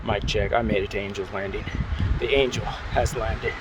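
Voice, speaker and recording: A man's voice: on the low side, steady tone, moderate pace. A man hosting a gadget review, chatting casually and informally as he demonstrates things. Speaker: product review host. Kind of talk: casual hands-on demo chat. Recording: very noisy amateur camera audio.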